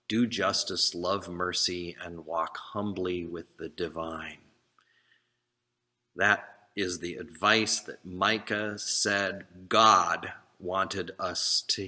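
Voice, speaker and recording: Slow, deep-voiced male preacher. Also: bright, forceful tone. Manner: earnest, exhortatory, with deliberate pauses and short phrases. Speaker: minister giving a sermon. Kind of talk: sermon.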